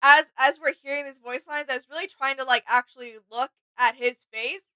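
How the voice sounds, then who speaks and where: A woman's voice: high-pitched, steady tone, fairly quick, pushed and bright, smooth, no room sound, poor audio. A woman co-hosting a reaction video, talking in a casual, agreeable, informal way as she shares her thoughts. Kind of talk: casual reflective reaction chat.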